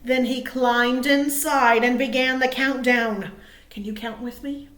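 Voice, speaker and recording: A woman reading aloud to small children as a storytime host, cheerful and expressive. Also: high voice, unhurried. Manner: cheerful, expressive, asks listeners questions. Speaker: children's librarian hosting storytime. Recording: roomy, echoey sound.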